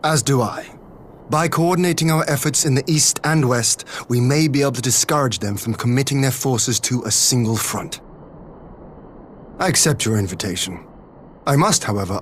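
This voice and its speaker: An actor playing a dignified, dutiful lord in a video game, speaking in a formal, measured, stately way. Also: male voice, medium pitch, slight background noise, close to an everyday voice. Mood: grave, resolute. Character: dignified, dutiful male lord guarding his homeland. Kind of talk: video game cutscene voice acting.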